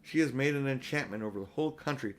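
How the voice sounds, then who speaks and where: A man's voice: medium pitch, fast talker, lightly acted. A man voicing an earnest, kindhearted, insistent little girl in a storybook. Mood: indignant. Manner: insistent, pleading.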